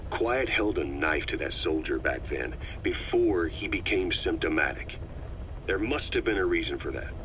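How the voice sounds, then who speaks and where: An actor playing a shrewd, level-headed veteran intelligence officer in a video game, talking in a measured, analytical way. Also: male voice, low-pitched, lively intonation. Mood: firm, reasoning. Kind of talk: dramatic video game voice acting. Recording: muffled, slightly noisy cassette tape.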